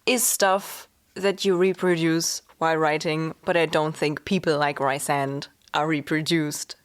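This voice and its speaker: A woman's voice: low voice, little pitch movement, a little slow. A woman co-hosting a casual book podcast, speaking in a reassuring, matter-of-fact way. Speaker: podcast co-host. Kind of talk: casual chat.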